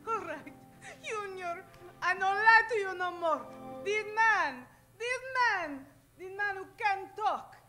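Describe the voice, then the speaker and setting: A melodramatic Mexican villainess for a comic radio play. Her voice is very high and bright, and her English is broken. She is vengeful and theatrical, with music in the recording. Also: slow pace, heavily acted. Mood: tearful confession. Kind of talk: comic old-time radio acting.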